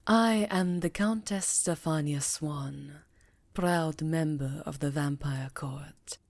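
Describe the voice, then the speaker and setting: A woman's voice: low voice, slow pace, a little mellow, no echo, full character voice. A woman playing an elegant, aristocratic vampire countess, courteous and darkly charming, speaking in a courtly, genteel way for an ASMR fantasy roleplay. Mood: courtly, charmed.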